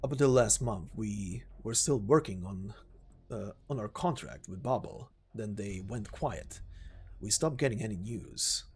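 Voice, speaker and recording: Man acting brooding, weary mercenary leader, lively intonation. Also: fairly low pitch, a little slow, breathy edge, exaggerated voice. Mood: anxious. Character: weary, sentimental mercenary leader brooding over a lost war. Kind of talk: amateur game story voice acting. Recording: music behind the voice.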